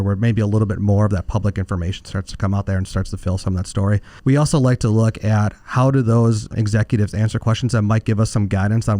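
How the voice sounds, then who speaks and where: A man's voice: fairly low pitch, mellow, dry recording. A man working as a financial advisor, answering questions in an explanatory, conversational way with informal phrasing. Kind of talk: conversational expert commentary.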